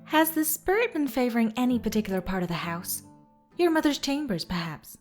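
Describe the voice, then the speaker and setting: A woman voicing a confident young ghost hunter who talks in a dry, unhurried, businesslike way in an audio drama. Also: a little high, very animated, soft background music. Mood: inquiring.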